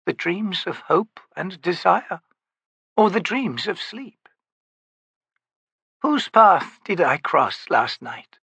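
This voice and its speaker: A man voicing a weary young female priestess who speaks quietly and reflectively in an audiobook. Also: fairly low pitch, lively intonation, slow, creaky, pauses often, slightly rough, no echo, highs cut off, voice pushed up, full character voice. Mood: wondering.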